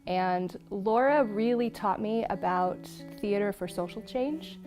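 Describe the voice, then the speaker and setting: A woman's voice: mid-range voice, measured pace, a little mellow. Woman teacher over prominent guitar music. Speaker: theatre teacher and internship program director. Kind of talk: reflective documentary interview.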